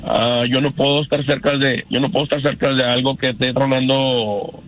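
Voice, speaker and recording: A man in his fifties, from Canada, calling into a radio show and telling his story haltingly, with many restarts. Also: mid-range voice, fairly even intonation, fairly bright tone. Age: 50s. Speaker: caller. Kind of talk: phone-in testimony. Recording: phone call on radio.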